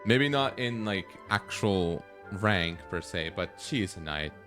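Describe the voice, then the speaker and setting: A man's voice: low-pitched, animated, unhurried, music in the background. A man livestreaming a video game, giving casual commentary in short, offhand reactions. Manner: muttered reactions, short fragments. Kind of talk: casual live gameplay commentary.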